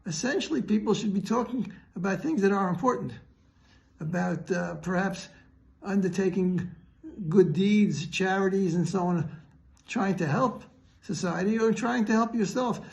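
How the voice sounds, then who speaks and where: A man with a high, gravelly-edged voice teaches religion as a rabbi would. He explains earnestly and didactically, pausing mid-thought as he works through each point. The sound is slightly dull and lo-fi. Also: darkish tone. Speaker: rabbi giving a Torah lesson.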